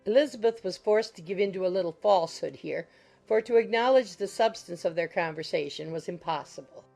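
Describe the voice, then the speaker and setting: A woman's voice: lowish voice, brisk, vocal fry. A woman reading scripted literary narration as an audiobook narrator. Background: soft music.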